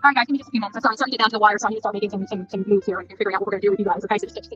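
A woman's voice: medium pitch, fairly even intonation, racing, fairly bright tone, lo-fi sound, soft background music. A middle-aged woman chatting casually to livestream viewers, scattered and repeating her words as she goes. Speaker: livestream host covering a court case. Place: outdoors outside a courthouse at first, later a hotel room.

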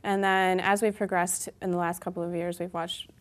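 Casting: female trainer, thirties, slightly low voice, casual explanatory interview guest. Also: level tone, normal speed. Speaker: guest, gym owner and trainer. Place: small TV studio set, indoors.

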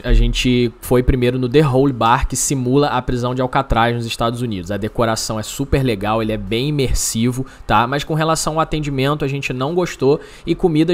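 A man narrating a travel vlog, giving casual, upbeat restaurant recommendations. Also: medium pitch. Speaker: travel vlogger.

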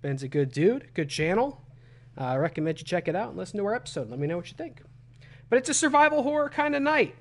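A young man with a cold, his voice raspy and phlegmy, chatting casually as a gaming streamer from a small room at home. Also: sits high, sing-song, fast. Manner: chatty, self-deprecating. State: hoarse from a cold.

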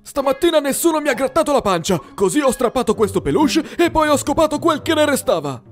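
A high-pitched, fast-talking cartoon dog, a big baby who boasts of being fearsome. It sounds naive and childish, with music behind it. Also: big pitch swings. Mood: gleeful. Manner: babyish, naive, bragging. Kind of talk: animated comedy dub in Italian.

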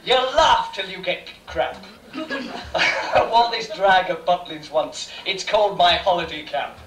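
Man in drag as a high-voiced saucy panto dame. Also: slow, fairly bright tone. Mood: saucy, brassy. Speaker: amateur pantomime performer. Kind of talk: comic stage verse recitation. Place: small theatre bar stage, indoors. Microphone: far camera. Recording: distant echoey noisy bar with audience.

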